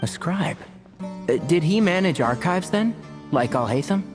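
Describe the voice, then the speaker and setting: A woman voicing a practical, dry-witted young botanist in a video game, matter-of-fact but expressive. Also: on the low side, measured pace, over music. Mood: curious.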